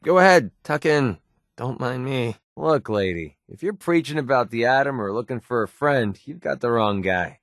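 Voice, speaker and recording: An actor playing a cynical, wisecracking young mercenary in a video game, with a sardonic, casual, streetwise delivery. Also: male voice, mid-range voice, expressive, normal speed, fairly bright tone, no room sound, studio quality. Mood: dismissive, sardonic. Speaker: video game companion character. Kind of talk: video game voice acting, short scripted barks.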